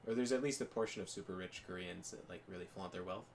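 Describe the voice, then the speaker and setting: A man's voice: deep voice, fast, vocal fry, slight background noise. A young man chatting casually as a podcast co-host, rambling with lots of fillers and restarts. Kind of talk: casual chat while gaming.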